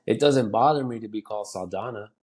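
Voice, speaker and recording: A man's voice: lowish voice, brisk, darkish tone, a little hiss or hum. American guy on a podcast, comic, loose slangy talk with some swearing, tells stories quoting people. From United States. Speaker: comedian, podcast guest. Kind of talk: casual chat, slangy and sweary.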